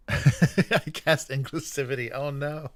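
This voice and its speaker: A man hosting a livestream, chatting casually in a halting, rambling and jokey way. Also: mid-range voice, fairly even intonation, dry recording, studio quality. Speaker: livestream host.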